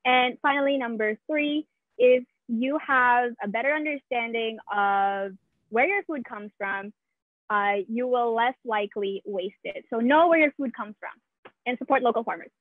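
A woman's voice: a little high, moderate pace, no room sound. Young woman listing food points, sounds like a phone line, super dry. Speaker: team member. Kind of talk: listing takeaways on a call.

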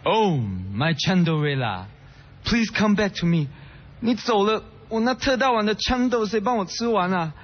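A man's voice: high-pitched, expressive, a little slow. A man playing a vain, showy young student who drops English into his lines in a radio drama. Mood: theatrical. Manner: showy, mixes English into Mandarin. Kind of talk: idol radio drama acting. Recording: dull lo-fi audio.

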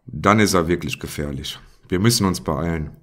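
A man's voice: low voice, moderate pace, fairly bright tone. A man playing a blunt, coarse, self-important police inspector in a parody detective audio drama. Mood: urgent, bossy.

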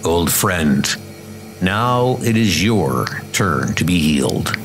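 A man's voice: deep voice, very animated, slow pace, a bit bright, faint music behind, voice pushed down, full character voice. A man voicing a weary, menacing, ageless assassin who speaks tersely and broodingly in a comic dub. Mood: brooding, grave.

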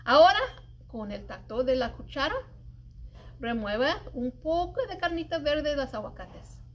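A middle-aged woman reading a children's picture book aloud as a library storyteller, with expressive, deliberate delivery and drawn-out emphasized words. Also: high-pitched, muffled recording. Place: indoors in a library children's room. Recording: light background room noise.

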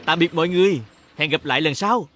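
A man's voice: a little high, fast, a bit bright, slight background noise. A man narrating a children's cartoon in speech, talking to the characters like a storyteller for young children. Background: music.

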